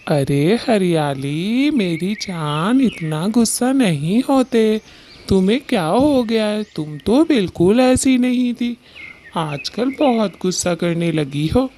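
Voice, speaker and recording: Patient cartoon bird husband played by a woman, dark mellow tone, placating delivery, faint noise behind. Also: medium pitch, animated, slow. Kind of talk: Hindi cartoon story dialogue.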